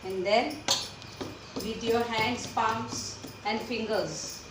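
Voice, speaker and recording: A woman's voice: fairly high pitch, fairly even intonation, very slow. Middle-aged mother, patient, distant, echoey and noisy kitchen. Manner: plain, patient, instructive. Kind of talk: home cooking explanation. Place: home kitchen.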